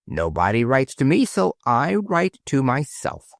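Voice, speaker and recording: A man voicing a sulky male pet pig, talking slowly with a whine. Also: mid-range voice, wildly swooping pitch, creaky, no echo, studio quality, exaggerated voice.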